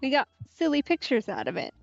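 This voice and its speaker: A woman's voice: sits high, wildly swooping pitch, fairly quick, creaky, lo-fi sound, soft background music. A woman doing casual let's play commentary, with a wry, laid-back manner. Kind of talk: casual gameplay commentary, reading player dialogue.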